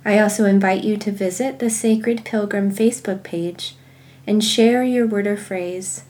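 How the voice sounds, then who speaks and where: Slow, mellow woman guiding prayer. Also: medium pitch, steady tone. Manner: measured, meditative, with pauses. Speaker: guided prayer podcast host. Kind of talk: guided contemplative prayer and scripture reading.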